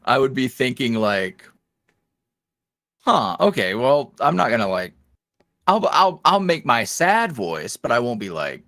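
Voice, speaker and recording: A man talking casually as a podcast guest, in a rambling, animated, self-deprecating way. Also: mid-range voice, unhurried, long pauses, no room sound, studio quality. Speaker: podcast guest, ASMR roleplay voice creator. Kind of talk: casual interview chat.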